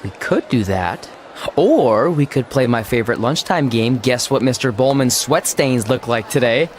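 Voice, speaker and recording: A playful high school boy voiced by a man in a game cutscene. He has a medium-pitched voice and jokes with mock pomp over faint noise. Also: lively intonation, normal speed, lightly acted. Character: goofy high school boy, wrestling-obsessed joker. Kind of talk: scripted video game cutscene acting.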